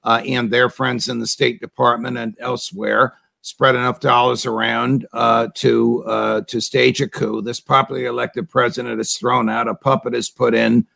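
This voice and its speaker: A man with a heavily gravelly, creaky voice at an ordinary mid pitch, hosting an interview. He builds pointed, leading questions, laying out a chain of events before he presses his guest for an answer. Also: flat delivery, moderate pace, hardly any pauses, pushed and bright. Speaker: interview host.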